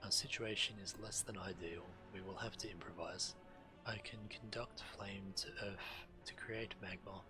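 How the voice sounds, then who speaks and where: An actor playing a grave, resourceful shaman in a fantasy video game, with measured, deliberate, serious delivery. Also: low-pitched, fairly even intonation, natural voice. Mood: grave, calculating. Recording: game music behind.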